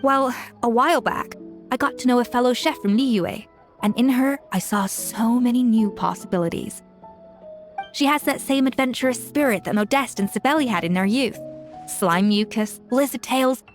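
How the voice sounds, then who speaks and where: A woman playing a calm, poised chef. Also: fairly high pitch, soft background music, lightly acted. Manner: calm, measured and articulate. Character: poised adult female chef, thoughtful. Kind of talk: video game voice acting.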